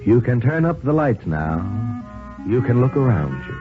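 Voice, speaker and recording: A man's voice: mid-range voice, big pitch swings, normal speed. A man hosting a 1950s radio anthology show in an announcer's style. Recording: muffled 1950s radio recording, music behind. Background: music.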